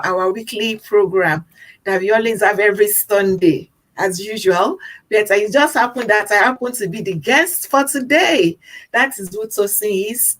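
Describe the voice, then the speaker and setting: A woman's voice: medium pitch, rough recording. A young Nigerian woman talking casually about herself as a guest, often repeating phrases for emphasis. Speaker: talk show host appearing as guest. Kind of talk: casual personal talk.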